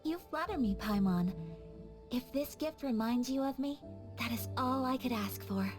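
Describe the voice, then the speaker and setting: Woman playing a high-voiced, refined young noblewoman. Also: music in the background, natural voice. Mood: grateful, gentle. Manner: polite, formal, measured phrasing. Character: refined, courteous young noblewoman, gentle and thoughtful. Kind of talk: anime game voice acting.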